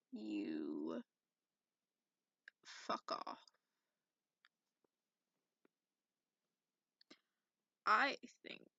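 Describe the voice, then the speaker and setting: A woman voicing a cranky, sarcastic, sleepy dragon in a breathy, half-whispered ASMR roleplay, drawling haughtily. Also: a little high, fairly bright tone, no room sound, exaggerated voice. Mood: exasperated. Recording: muffled lo-fi close recording.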